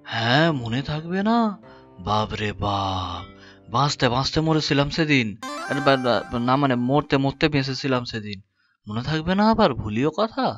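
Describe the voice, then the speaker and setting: A man's voice: medium pitch, very animated, normal speed, fairly bright tone. Guy doing humble cartoon boatman, folksy n hesitant, kinda muffled, music behind. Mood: timid. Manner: colloquial, folksy, hesitant, repeating words. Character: humble boatman turned fisherman, wheedling, henpecked husband. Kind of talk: animated folk tale voice acting. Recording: dull lo-fi sound with music behind.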